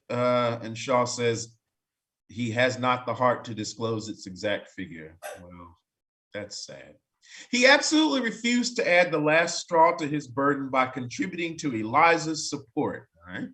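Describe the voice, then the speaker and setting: A man with a fairly high voice reads a story aloud as narrator. His delivery swings widely in pitch and is very expressive. He slips out of the text into wry, offhand comments of his own. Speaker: narrator in a staged reading. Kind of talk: dramatic reading aloud with casual asides.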